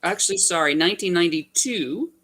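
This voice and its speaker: Low-voiced woman, casual co-host. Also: animated, brisk, a bit bright. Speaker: co-host assistant. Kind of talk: casual chat.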